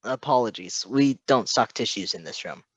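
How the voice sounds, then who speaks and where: A man's voice: mid-range voice, lively intonation, normal speed, breathy edge, dry recording. A man voicing a logical, reserved male superhero in a story, speaking formally and precisely. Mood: awkward, formal.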